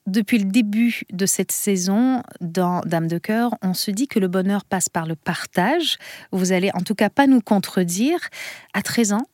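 A woman radio host at a mid-range pitch leads the conversation with courteous, complimentary questions. Her voice sounds close and dry. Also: a little slow, a little mellow, no room sound. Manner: polite, complimentary, guiding questions. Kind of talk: radio interview.

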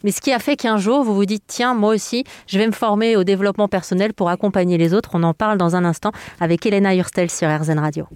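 A woman's voice: medium pitch, fast talker, few pauses, darkish tone. Woman radio host guiding an interview. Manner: conversational, guiding questions.

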